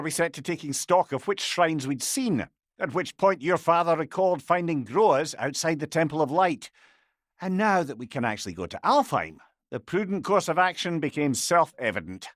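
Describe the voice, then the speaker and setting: Witty old Scottish sage, lively pitch. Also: male voice, no room sound, clean studio sound. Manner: eloquent, wry, colloquial. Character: witty, talkative old male severed-head companion, wise and wry. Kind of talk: video game character acting.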